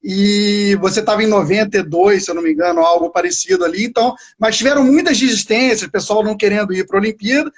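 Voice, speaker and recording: A man's voice: high voice, fast talker, hardly any pauses. A man with a Brazilian accent hosting a casual interview, asking long, meandering questions in a hesitant way. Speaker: interviewer, live stream host.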